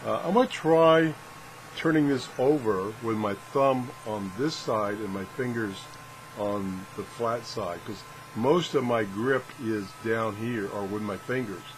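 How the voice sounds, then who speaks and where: A man's voice: on the low side, unhurried, a little hiss or hum, poor audio. A man giving a casual, unscripted product demonstration, rambling and thinking aloud in a good-humored, self-deprecating way. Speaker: host of a radio product test video.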